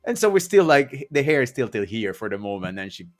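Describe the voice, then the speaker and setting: Male host, thirties, mid pitch, hesitant and repetitive, casual talk. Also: big pitch swings, soft background music. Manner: halting, repeats words, non-native phrasing. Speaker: podcast host. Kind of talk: casual chat.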